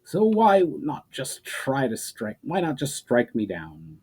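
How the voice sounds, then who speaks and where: A man voicing a proud, sharp, defiant sorceress in formal speech for a dramatic fantasy story reading. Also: mid-range voice, wildly swooping pitch, slow pace, slightly rough, exaggerated voice. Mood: defiant, challenging. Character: proud, sharp, defiant woman wielder of magic.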